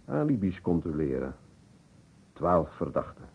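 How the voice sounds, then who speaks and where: Deep-voiced elderly professor, old radio. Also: male voice, very animated, husky, heavily acted. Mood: assured, talkative. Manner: deliberate, reminiscing, searching for names. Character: elderly male professor of surgery, courteous, talkative. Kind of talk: Dutch radio play acting. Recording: muffled old radio recording, narrow band.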